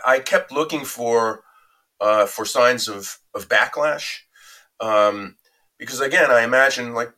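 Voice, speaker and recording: A man with a bright, forceful tone and a mid-range pitch speaks as an interview guest. He talks in a measured, reflective way and builds his answer through anecdotes. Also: low-quality recording. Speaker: interview guest recounting a reporting trip.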